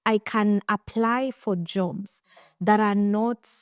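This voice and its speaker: A woman's voice: medium pitch, slow, clean tone, no echo, clean studio sound. A young Kenyan woman with a Kenyan accent hosting a casual interview, telling stories with lots of restarts. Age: young adult. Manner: animated storytelling with frequent restarts. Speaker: podcast host and finance coach.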